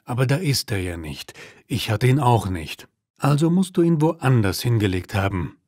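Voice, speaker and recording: A man's voice: medium pitch, sing-song, normal speed, a little mellow, dry recording, close to an everyday voice. A man acts a patient, methodical husband in a language-learning dialogue. He soothes and instructs with strongly varied melody in a dry recording. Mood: calm, questioning.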